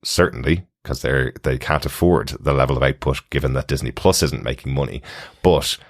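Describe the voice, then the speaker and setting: A man hosting a podcast, talking casually through entertainment news in a measured, halting explanatory way. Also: very deep voice, dry recording, studio quality. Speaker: podcast host.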